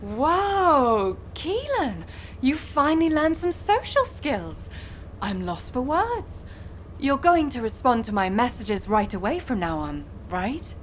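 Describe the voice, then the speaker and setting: An actress playing a brisk, articulate intelligence analyst with a teasing side in a video game. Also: female voice, mid-range voice, very animated, a little hiss or hum. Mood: surprised, teasing. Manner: businesslike, articulate. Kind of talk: game dialogue, briefing.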